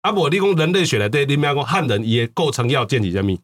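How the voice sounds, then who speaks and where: A man's voice: mid-range voice, sing-song, quick pace. A man with a Taiwanese accent explaining things casually as a talk-show host, often repeating words for emphasis. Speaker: podcast host.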